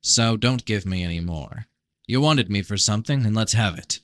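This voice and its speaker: Male reader as suspicious fantasy hero: lowish voice, terse, challenging, dry studio-like sound. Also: expressive, normal speed, fairly bright tone, no room sound. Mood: blunt, wry. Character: blunt, suspicious fantasy prince interrogating his brother. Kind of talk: first-person novel reading.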